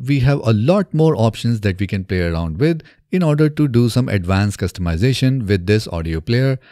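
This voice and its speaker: A man in his thirties presenting a software tutorial step by step in a methodical way, recorded close on a desk microphone in a small home studio. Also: medium pitch, no echo. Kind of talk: scripted software tutorial narration. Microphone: close desk microphone on boom arm.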